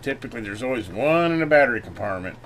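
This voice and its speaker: A man's voice: mid-range voice, expressive, fast talker, a bit bright, slight background noise. A man talking casually and off the cuff as he hosts a hands-on electronics review and repair, thinking aloud as he works. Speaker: electronics repair video host.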